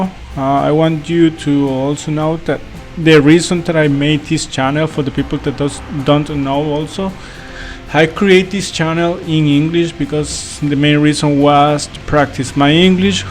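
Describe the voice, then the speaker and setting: A man from El Salvador, speaking English as a second language, giving a casual travel review with many fillers, restarts and apologies. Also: a little high. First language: Spanish. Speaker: travel vlogger.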